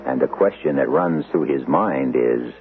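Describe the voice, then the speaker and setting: A man narrating a vintage radio mystery as its host, in measured storytelling tones. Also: very deep voice. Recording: tinny old radio broadcast with noise.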